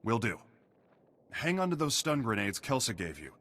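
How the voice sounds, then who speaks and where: An actor playing a gruff, duty-bound prison station officer in a video game, giving brisk military briefings. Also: male voice, medium pitch, big pitch swings, a bit bright. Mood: stern, urgent.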